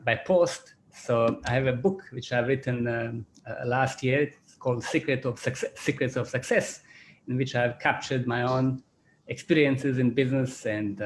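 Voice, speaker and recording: A man with a Croatian accent, a business school chairman giving closing remarks on a video call, halting and repeating words with many fillers. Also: medium pitch, a little hiss or hum. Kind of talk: closing remarks at an online panel.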